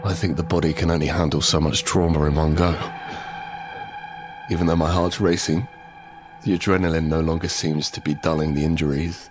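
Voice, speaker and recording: An actor playing an injured, desperate father in a first-person audio drama, halting as he speaks. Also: extremely low pitch, flat delivery, over music. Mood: pained, grave narration. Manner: halting, repetitive, pleading in dialogue. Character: injured, desperate father pleading to save his family.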